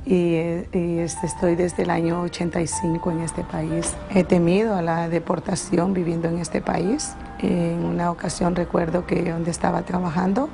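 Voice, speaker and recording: Middle-aged woman with creaky voice. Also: lowish voice, little pitch movement, moderate pace, hardly any pauses. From Sonsonate, El Salvador. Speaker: interviewee, immigrant charity organizer. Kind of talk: personal testimony in interview.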